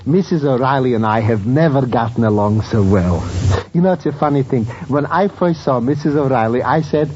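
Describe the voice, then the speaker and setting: An actor playing an excitable, sarcastic old European professor in a 1940s radio comedy. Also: male voice, mid-range voice, brisk, natural voice. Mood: sly, teasing. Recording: muffled, very noisy old radio recording.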